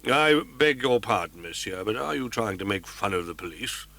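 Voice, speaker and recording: A man voicing a calm, skeptical male police commissary who speaks with dry amusement. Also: lowish voice, moderate pace.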